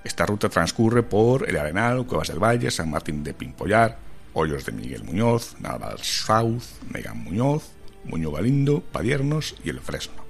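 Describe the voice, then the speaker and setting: A man reading a formal news voice-over in a measured, steady way. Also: low voice, vocal fry, faint music behind. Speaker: news narrator. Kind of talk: scripted voice-over narration.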